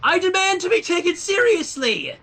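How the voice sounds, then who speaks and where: A man's voice: very high voice, very animated, moderate pace, bright, forceful tone, pitched above the performer's own voice, full character voice. A man voicing a vain, indignant young female pony who is haughty, demanding and melodramatic in a storybook reading.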